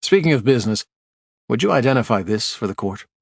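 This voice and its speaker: A man's voice: mid-range voice, expressive, fast talker, creaky, no room sound, studio quality. A man voicing a blunt, plainspoken man who presses a witness with folksy, dogged questions.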